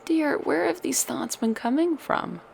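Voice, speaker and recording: A woman's voice: high-pitched, unhurried, vocal fry, a little mellow, slight background noise, heavily acted. A woman playing a tender, doting witch girlfriend who soothes a monster lover with old-fashioned endearments in an ASMR audio roleplay. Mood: tender concern.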